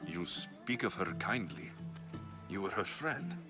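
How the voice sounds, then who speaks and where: An actor playing a confident, wry mercenary warrior hero, blunt and dry, in a video game cutscene. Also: male voice, low voice, expressive, slow, music in the background. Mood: wry, relaxed.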